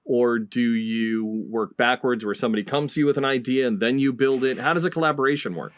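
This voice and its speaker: A man's voice: medium pitch, a little fast. A man hosting a podcast in a casual, conversational interview style. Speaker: podcast host.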